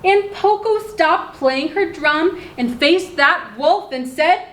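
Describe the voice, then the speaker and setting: A woman hosting a children's story time, reading aloud in simple, instructive language and pausing to put questions to her listeners. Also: extremely high pitch, expressive, a bit bright. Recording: roomy echo, clear background noise.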